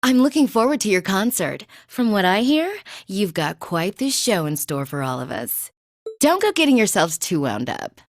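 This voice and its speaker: A confident, teasing young noblewoman with a tomboyish streak in an anime game. Her pitch is mid-range but swoops freely in a smooth, theatrical drawl. The sound is close and dry. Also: big pitch swings, moderate pace, dry recording. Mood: encouraging. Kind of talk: anime game dub acting.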